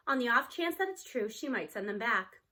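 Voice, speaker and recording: A woman's voice: fairly high pitch, sing-song, a little fast, sharp tone. A woman narrating a children's novel aloud as a scripted story reading.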